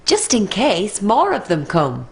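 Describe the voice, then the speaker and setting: A woman's voice: mid-range voice, huge pitch swings, slow pace, creaky, full character voice. A woman voicing a worried, exasperated mother in a children's storybook, fussing and scolding with emphasis.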